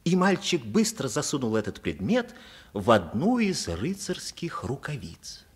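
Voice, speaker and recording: A man's voice: mid-range voice, wildly swooping pitch, unhurried, breathy edge. A man narrating a story expressively for a radio play.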